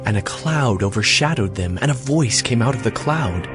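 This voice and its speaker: A man's voice: mid-range voice, animated, normal speed. A man reading scripture as the narrator of a dramatized audio Bible. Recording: music bed under the voice. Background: background music.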